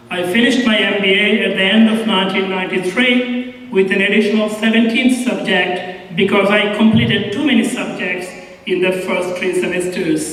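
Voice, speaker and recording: A Bangladeshi man in his fifties giving a formal graduation address in a large auditorium, with a measured, deliberate way of telling his story. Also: high voice, fairly bright tone. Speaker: commencement speaker. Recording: echoey hall from far off.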